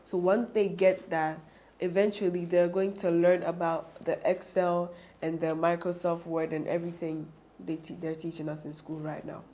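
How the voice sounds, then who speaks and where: A woman's voice: fairly low pitch, flat delivery, dark tone. A teenage woman, answering interview questions earnestly. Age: teens. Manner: earnest, quick to agree. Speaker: pageant titleholder guest.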